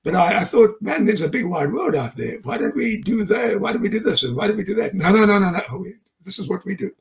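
A man's voice: high-pitched, animated, fast, gravelly. An older man from South Africa, a guest telling his business story in a chatty, rambling way with frequent chuckles and fillers. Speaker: guest, mortgage business owner. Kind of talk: casual interview chat.

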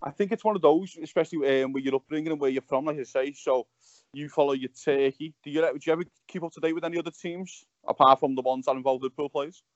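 A man hosting a podcast in casual chat, halting and repeating his words as he goes. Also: a little high, no echo, highs cut off. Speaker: podcast host.

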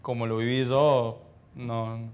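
A man of about twenty with an Argentine accent, giving modest, halting answers as a footballer in a casual interview. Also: mid-range voice, flat delivery, smooth, dull audio. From Argentina, childhood in Ecuador. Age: around 20. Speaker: professional footballer being interviewed.

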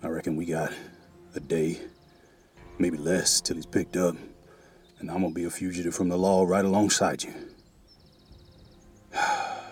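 Grave, deep-voiced cavalry sergeant, played by a man. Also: a little mellow. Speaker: actor in an audio drama. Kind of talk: Western audio drama acting.

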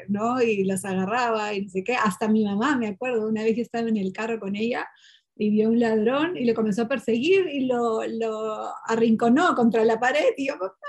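Woman interview guest, Portuguese speaker; lively storyteller full of fillers and self-corrections. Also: on the high side, slightly rough. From Peru. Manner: lively, animated, many fillers and restarts. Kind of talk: casual conversational storytelling.

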